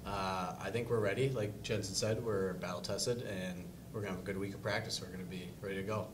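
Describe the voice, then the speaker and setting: Young male player, low and flat. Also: a little fast, background noise. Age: young adult. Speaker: college lacrosse player. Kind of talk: postgame press conference answers. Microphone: table mic on stand.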